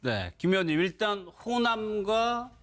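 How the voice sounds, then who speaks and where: A man's voice: high-pitched, big pitch swings, measured pace, fairly bright tone. A man hosting a news talk panel, introducing clips and putting questions to commentators with brief acknowledgments. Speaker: news talk show anchor. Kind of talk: panel discussion moderating.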